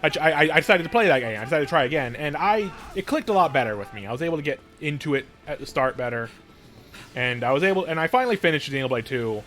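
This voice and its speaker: A man's voice: on the high side, lively intonation, fast talker, fairly bright tone. A man giving casual, rambling live-stream commentary, often circling back to rephrase, in informal and occasionally profane language. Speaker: streamer hosting a game stream. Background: game music.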